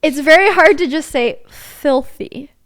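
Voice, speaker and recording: A woman's voice: sits high, measured pace, fairly bright tone. Chatty woman with a slight Texan drawl. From Dallas, Texas. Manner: chatty, laughs often. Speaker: podcast host. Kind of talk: casual chat.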